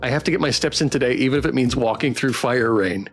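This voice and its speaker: A man's voice: medium pitch, quick pace, faint music behind. A man live-streaming a visual novel, reading aloud in a playful, theatrical way and adding his own comments. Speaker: game streamer.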